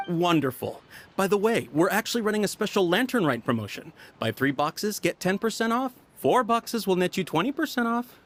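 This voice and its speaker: A man voicing a smooth-talking tea merchant with a persuasive salesman patter. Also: sits high, sing-song, moderate pace, a little hiss or hum. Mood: welcoming. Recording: game audio with music.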